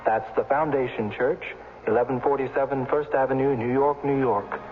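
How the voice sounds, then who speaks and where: A man reading a scripted religious radio announcement from the 1970s in a solemn, measured way, on a muffled old recording. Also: mid-range voice, fairly even intonation.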